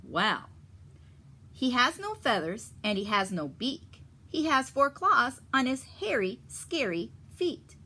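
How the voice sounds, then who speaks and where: A woman reading a picture book aloud to children in a teacherly way, pausing to explain and ask them questions. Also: a little high, big pitch swings, bright, forceful tone, husky. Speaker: story reader for children.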